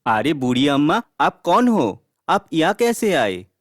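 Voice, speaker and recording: A man voicing a young suitor in a Hindi animated story. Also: a little high, measured pace, dry recording, studio quality. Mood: baffled. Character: young suitor, admiring then baffled and dismissive.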